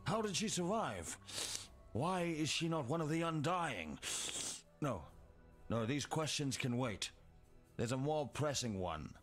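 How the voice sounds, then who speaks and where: Brooding fantasy game character, deliberate pace, widely swinging intonation, dramatic, music behind. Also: male voice, fairly high pitch, animated. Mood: astonished, urgent. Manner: brooding, dramatic, hushed line endings. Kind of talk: video game character dialogue.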